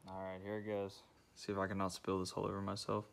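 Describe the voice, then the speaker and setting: A man's voice: sits low, monotone, fast, creaky, noisy background. A young man casually talking through a car repair step by step in his garage, thinking aloud as he works. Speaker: car DIY channel host. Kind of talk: casual how-to narration while working. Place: home garage, concrete floor.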